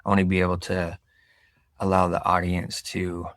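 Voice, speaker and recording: Male podcast host, very low voice, even delivery, relaxed and reflective, dry sound. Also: no room sound. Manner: relaxed, reflective, with filler pauses. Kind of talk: casual interview.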